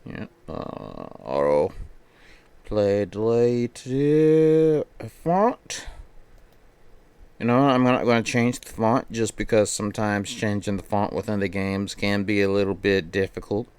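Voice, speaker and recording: A man's voice: on the low side, lively intonation, takes it slowly, a little mellow, clear, resonant tone. A man streaming games, chatting casually and thinking aloud in a rambling way that often repeats phrases. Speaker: game streamer. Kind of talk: casual livestream chat while setting up a game.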